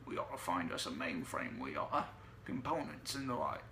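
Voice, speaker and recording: Cheeky storybook Cockney gremlin, acted by a man, small, guttural and squawking, with a forceful edge. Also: low voice, sing-song, normal speed, slight background noise, pitched below the performer's own voice, full character voice. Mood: scheming.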